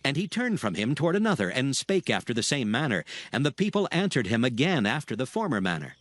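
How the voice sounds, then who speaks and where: Animated male scripture narrator. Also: medium pitch, quick pace, no room sound.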